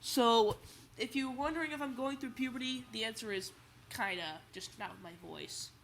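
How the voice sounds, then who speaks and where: Stuffy-nosed teen guy, bright tone, rambling toy-collector chat; keep it loose and restart a lot. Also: extremely high pitch, normal speed, slight background noise, poor audio. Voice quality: slightly raspy. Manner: rambling, restarts often, chatty. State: stuffy nose, under the weather. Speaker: toy collector video host. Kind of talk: casual livestream chat.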